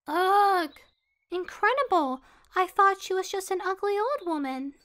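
A woman voicing a gentle, trusting young female school leader in an anime-style game dub. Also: very high-pitched, animated, measured pace, heavily acted. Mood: shocked.

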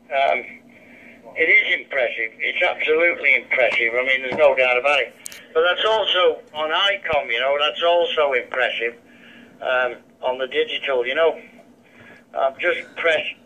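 Male radio ham, forceful tone, blunt and informal, muffled digital two-way radio sound. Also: medium pitch, moderate pace. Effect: digital radio transmission. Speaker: amateur radio operator. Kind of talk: casual two-way radio chat. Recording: dull digital handheld radio speaker.

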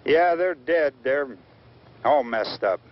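Unfazed folksy American sheriff, higher-pitched. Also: male voice, animated, slow pace. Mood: matter-of-fact, unfazed. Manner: matter-of-fact, folksy. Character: plainspoken, unfazed male small-town sheriff leading a posse. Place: outdoors. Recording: dull, noisy old outdoor film sound.

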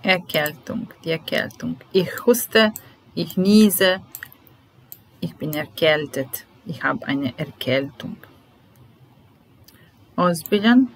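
A woman, teaching vocabulary in a deliberate, repetitive, instructional way. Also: deep voice, poor audio. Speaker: language teacher. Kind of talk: vocabulary lesson, word-by-word dictation with repeated phrases. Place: small room indoors. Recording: background noise with keyboard typing.

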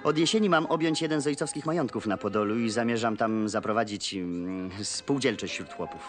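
An actor playing a courteous, eager young Polish gentleman in a period television drama, speaking politely and with animation. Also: fairly low pitch, brisk, music in the background, lightly acted. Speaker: landowner's son.

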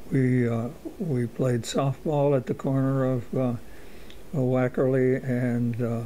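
A man of eighty-seven recalling his youth in a halting way, with many fillers and a dry humor. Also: mid-range voice, dark tone, slight background noise, rough recording. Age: 80s. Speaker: interviewee. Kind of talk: oral history recollection.

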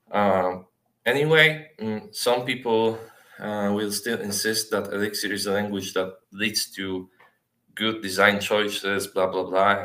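A man in his thirties giving a technical presentation over a video call, speaking haltingly with frequent filled pauses. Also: lowish voice, fairly bright tone. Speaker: software developer giving a talk. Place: small plain indoor room, on a video call. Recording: roomy, echoey webcam sound.

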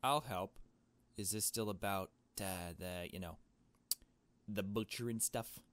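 A man reads as a kind, earnest girl from a visual novel, in a fairly low register. She comes across as mild-mannered, a little hesitant and reassuring. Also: unhurried, fairly bright tone.

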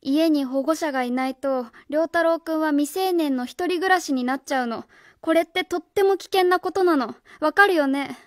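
A woman's voice: sits high, normal speed, dry recording, studio quality, lightly acted. A woman playing an earnest, suspicious schoolteacher in a radio drama, speaking politely and precisely as she questions people. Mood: concerned. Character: earnest, suspicious female schoolteacher on a home visit. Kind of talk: Japanese radio drama acting.

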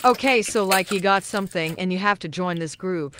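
A woman's voice: lowish voice, fairly quick, a bit bright, a little hiss or hum, lightly acted. A woman playing a teasing, flippant young gamer who chats in casual, joking slang. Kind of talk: scripted casual gamer dialogue.

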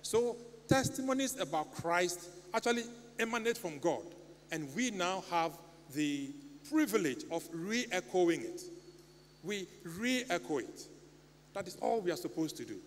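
A man's voice: high-pitched, unhurried. A middle-aged man from Ghana with a Ghanaian English accent, preaching a sermon from the pulpit in an earnest, pleading manner. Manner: earnest, pleading, animated hand gestures. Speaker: lay preacher. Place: church sanctuary. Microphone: stand mic at pulpit. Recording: long church reverb, faint hum.

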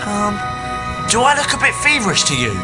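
Game sidekick: reluctant, jittery schoolboy, played by a voice actor, animated, under prominent music. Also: medium pitch, a little fast, lightly acted. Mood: anxious. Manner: hesitant, anxious.

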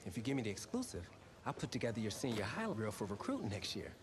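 An actor playing an eager young sports reporter angling for a scoop in a video game cutscene. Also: male voice, lowish voice, wildly swooping pitch, quick pace. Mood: eager, persuasive. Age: young adult. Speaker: student reporter. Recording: noisy ambience behind the voice.

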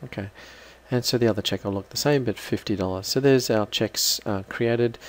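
A man giving an unscripted, halting step-by-step software walkthrough as a trainer. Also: lowish voice. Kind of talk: screen-recorded software walkthrough.

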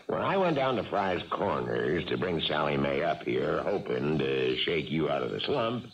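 Deep, gravelly, folksy baseball manager. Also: male voice, big pitch swings, moderate pace, full character voice. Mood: coaxing. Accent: American. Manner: folksy, persuasive. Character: folksy, crafty, coaxing veteran baseball manager. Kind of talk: 1970s radio drama acting. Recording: dull, muffled old radio recording.

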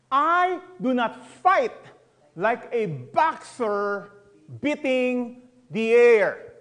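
High-voiced man teaching a Bible study. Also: a bit bright. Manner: conversational, asks the audience questions, emphatic slowed stresses. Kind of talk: sermon.

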